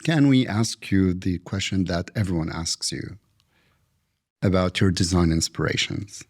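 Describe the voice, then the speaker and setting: A man's voice: sits low, a little mellow, slightly rough. A man hosting a podcast interview, reading a formal introduction and asking questions. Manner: slow, deliberate delivery. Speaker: podcast host.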